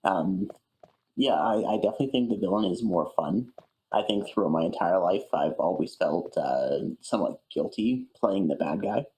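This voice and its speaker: A man's voice: low-pitched, lively intonation, normal speed, mellow, poor audio. A man being interviewed in a casual chat, speaking in a hesitant, thoughtful way. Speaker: interview guest, amateur voice actor. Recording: music playing behind. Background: background music.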